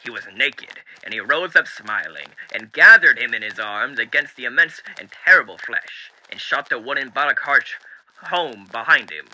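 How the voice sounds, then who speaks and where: Dapper, sinister male radio demon, theatrical storybook reading, piercingly bright, animated pitch, old radio filter. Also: poor audio. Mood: ominous relish. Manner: theatrical, deliberate, with hushed dips and swells. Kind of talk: dramatic book reading as old-time radio host.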